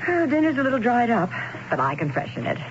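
An actress playing a tender, curious wife in a 1970s radio drama, expressive, fussing and affectionate. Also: female voice, fairly high pitch, fast talker. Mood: tender concern. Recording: dull, noisy vintage radio broadcast.

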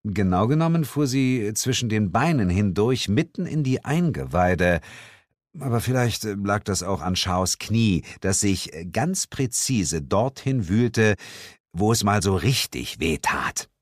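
A man's voice: lowish voice, very animated, measured pace, no room sound, studio quality. A man narrating a comic first-person audiobook story in a mock-dramatic way.

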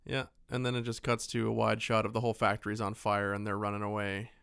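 A man co-hosting a podcast, casually retelling a film in a joking, animated way and happy to swear. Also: on the low side, quick pace, gravelly, smooth, no echo, clean studio sound. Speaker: podcast co-host. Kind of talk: casual film recap chat.

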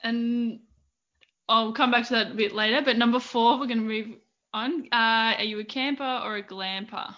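Bright woman co-hosting a podcast. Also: fairly high pitch, fairly even intonation, moderate pace, highs cut off. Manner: reads questions, brief acknowledgements. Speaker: podcast co-host. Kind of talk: casual interview chat.

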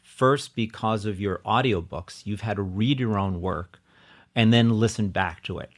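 A man conducting a casual interview in a wry, joking, deadpan manner. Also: fairly low pitch, moderate pace.

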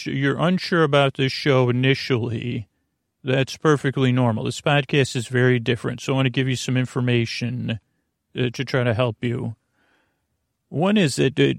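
A man with a creaky voice hosting a sleep podcast, meandering and halting as he restarts his phrases. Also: mid-range voice, no echo, studio quality. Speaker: podcast host. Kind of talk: rambling sleep podcast monologue.

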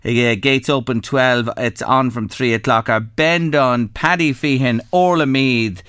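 Irish male presenter, rambling casual studio chat, smooth voice, dry sound. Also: medium pitch, a little slow, fairly bright tone, no echo. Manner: chatty, rambling, repeats words. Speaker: radio presenter. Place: radio studio.

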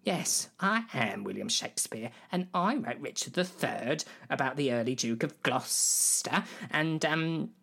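A woman playing William Shakespeare as a silly, boastful playwright in a comedy sketch, rambling and emphatic with a husky voice. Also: extremely low pitch, expressive, a little slow, hardly any pauses, fairly bright tone, full character voice. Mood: boastful, matter-of-fact. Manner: rambling, emphatic, swooping exclamations.

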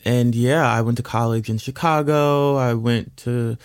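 A man's voice: medium pitch, fairly even intonation, unhurried, clear, resonant tone, no echo, studio quality. A young man from Washington DC, a guest in an interview, talking casually with laughs and many "like"s. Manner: chatty, laughing, many fillers. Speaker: actor guest.

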